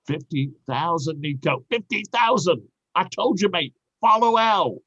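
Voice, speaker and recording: A man's voice: on the high side, animated, unhurried, pushed and bright, dry recording, heavily acted. Man acting a pushy, jovial sidekick, dull dry audio. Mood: triumphant. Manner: exhorting, colloquial. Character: pushy, jovial sidekick urging loyalty to his boss. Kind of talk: dramatic play reading.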